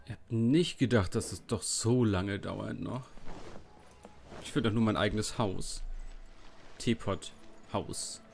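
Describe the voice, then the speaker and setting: A man with a German accent giving casual gameplay commentary, muttering and halting as he goes. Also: fairly low pitch, vocal fry, a little mellow, slight background noise. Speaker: let's play streamer.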